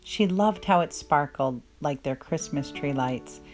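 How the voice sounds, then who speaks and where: A woman narrating a children's storybook aloud in an expressive, measured way. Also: low-pitched. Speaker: children's book reader. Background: plucked guitar music.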